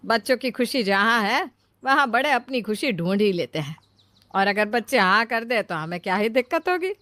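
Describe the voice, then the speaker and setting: A woman's voice: on the high side, lively intonation, normal speed, a bit bright, no room sound. A woman voicing a scolding, worldly-wise mother-in-law who lectures in a cartoon moral story. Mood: advising. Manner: chiding, lecturing. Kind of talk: acted Hindi moral-story cartoon dialogue.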